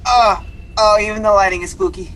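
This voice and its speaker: A woman's voice: mid-range voice, a little fast, fairly bright tone, close to an everyday voice. A woman playing a timid, whiny, easily spooked fairy-tale prince in a radio play, nervous and overdramatic. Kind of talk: irreverent radio play acting. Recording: very noisy recording.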